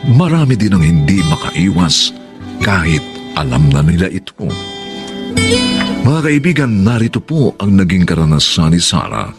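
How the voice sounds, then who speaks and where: A man's voice: low-pitched, very animated, normal speed. A man delivering a dramatic scripted introduction as narrator of a radio drama program. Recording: loud guitar music under voice.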